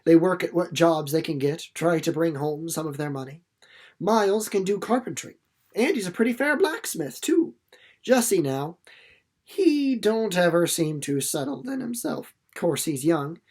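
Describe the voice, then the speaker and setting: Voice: mid-range voice, expressive, a little slow, a little mellow. A narrator voicing a plainspoken, easygoing middle-aged country mother in a storybook, with a folksy, matter-of-fact manner.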